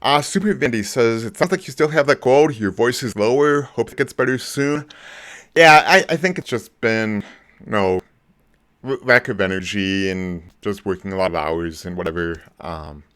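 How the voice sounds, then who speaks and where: A man in his forties hosting a casual live stream about movies, talking in a rambling way with lots of ums and restarts, his voice a bit lower than usual. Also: normal speed, fairly bright tone, smooth. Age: 40s. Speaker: movie channel host.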